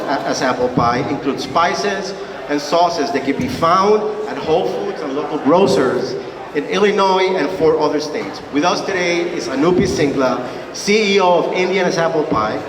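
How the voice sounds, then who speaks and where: A man with a slightly high voice and a non-native English accent, hosting an interview at an expo. His words carry through a roomy hall from away from the mic. A murmuring crowd fills the space behind him. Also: expressive, moderate pace. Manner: friendly, brief prompts and thanks. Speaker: event host, interviewer. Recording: echoey hall, mic far off, crowd noise.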